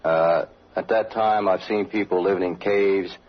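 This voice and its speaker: Low-voiced American soldier, dull old recording. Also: male voice, fairly even intonation, a little slow. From United States. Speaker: soldier giving eyewitness account. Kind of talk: recorded interview testimony.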